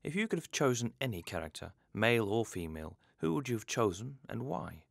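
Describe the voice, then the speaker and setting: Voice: medium pitch, big pitch swings, a little fast, creaky, no echo, studio quality. An interviewer reading scripted questions and announcements.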